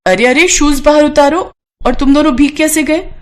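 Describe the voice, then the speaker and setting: A woman voicing a young Indian housewife and mother in a cartoon story, caring but harried, with a brisk, nagging manner. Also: high voice, slight background noise, voice pushed up, lightly acted. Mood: firm, scolding. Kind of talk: Hindi cartoon moral story acting.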